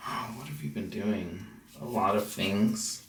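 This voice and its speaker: A man's voice: lowish voice, measured pace. American man chatting in an echoey room. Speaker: house music producer and DJ hosting a vlog. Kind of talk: casual chat, personal storytelling. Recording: roomy, distant mic with background noise.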